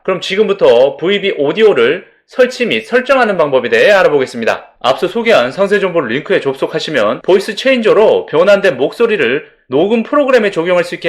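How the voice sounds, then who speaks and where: A man narrating a scripted software tutorial step by step in a formal, polite instructional manner. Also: high voice.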